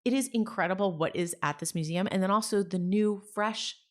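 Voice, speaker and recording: An enthusiastic woman in her forties, talking as a guest in a relaxed interview. She gushes about what she loves and grows animated as she goes, with a voice of middling pitch. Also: brisk. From New Jersey. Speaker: interview guest, story salon founder. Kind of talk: casual conversation.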